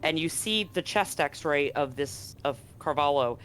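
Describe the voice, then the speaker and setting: A man's voice: fairly high pitch, animated, unhurried, fairly bright tone. A man narrating a live tabletop game as game master, conversational and explanatory. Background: background music.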